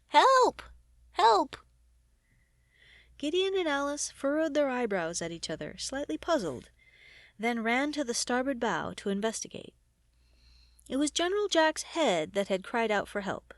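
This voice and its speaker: Animated woman reading fiction aloud. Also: a little high, moderate pace, no echo, studio quality. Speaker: audiobook reader. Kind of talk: expressive fiction narration.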